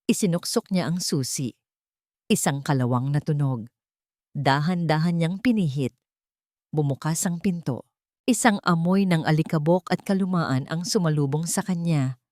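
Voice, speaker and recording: A woman storyteller reading a scripted dramatic story with suspenseful, deliberate phrasing. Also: low-pitched, a little mellow, dry recording, studio quality.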